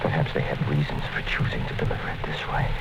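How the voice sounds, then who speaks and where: A man's voice: a bass voice, completely flat delivery, fast talker. An actor narrating as a fearful, secretive male office worker writing a diary, brooding in a 1950s radio drama. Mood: fearful whisper. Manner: tense, brooding inner monologue. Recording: dull-sounding 1950s radio broadcast with music.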